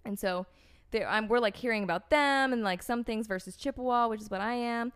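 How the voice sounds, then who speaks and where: A woman's voice: on the high side, fairly quick, fairly bright tone, clean tone. A young American woman telling a personal story in casual conversation, rambling and leaning on "like" and "um" as she restarts her sentences. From United States. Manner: rambling, heavy use of "like" and "um", frequent restarts.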